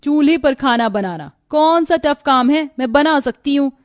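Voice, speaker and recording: A woman voicing a haughty, spoiled young daughter-in-law in a cartoon story, shrill, snapping and taunting. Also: expressive, normal speed. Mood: defiant.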